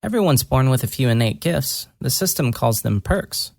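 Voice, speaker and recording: A narrator voicing a gentle young woman mage who murmurs softly and deliberately, earnest and lightly teasing, in a fantasy audio story. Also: mid-range voice, big pitch swings, vocal fry, dry recording, clean studio sound. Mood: earnest, explaining.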